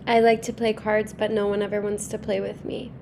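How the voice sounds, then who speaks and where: A woman's voice: medium pitch, level tone, fairly quick, gravelly, darkish tone, a little hiss or hum, lightly acted. An actress playing a firm, unflappable woman attorney, cool and assertive, in a staged play reading. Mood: casual.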